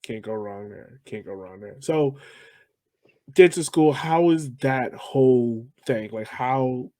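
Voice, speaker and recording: Male podcast host, American accent. Loose, slangy interview chat with lively pitch swings and repeated phrases, dry close sound. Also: slow, dry recording.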